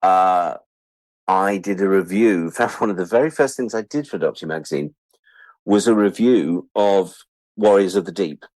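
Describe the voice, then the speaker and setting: Older british guy being interviewed, kinda low voice, dry sound, rambles, swears, pokes fun at himself. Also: normal speed, a little mellow, no room sound, rough recording. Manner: candid, sweary, self-deprecating. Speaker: interview guest, writer-producer. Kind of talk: rambling conversational anecdotes.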